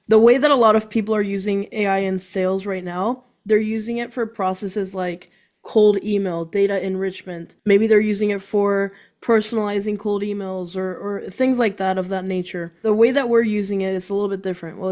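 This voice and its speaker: A woman who is a guest on a business podcast, explaining her work quickly and enthusiastically. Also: mid-range voice, a little mellow. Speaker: guest entrepreneur. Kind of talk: casual interview chat.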